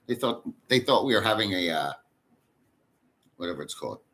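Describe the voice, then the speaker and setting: A man's voice: on the low side, expressive, fairly quick, sharp tone. A man hosting a casual livestream, reading chat aloud and rambling in a crude, profane way.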